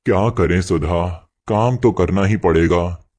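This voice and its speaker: A man voicing a poor, meek labourer husband in an animated moral story, gentle and apologetic. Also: sits low, a little slow. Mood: patient, resigned. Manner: gentle, pleading and apologetic toward his boss.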